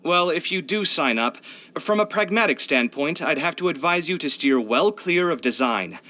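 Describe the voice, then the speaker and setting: Bright, expressive young architect. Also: male voice, fairly high pitch, normal speed. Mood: weary warning. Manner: chatty, candid, expressive, sighing. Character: young male architect, proud, earnest, expressive, hard-luck. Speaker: video game voice actor. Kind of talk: video game character voice lines.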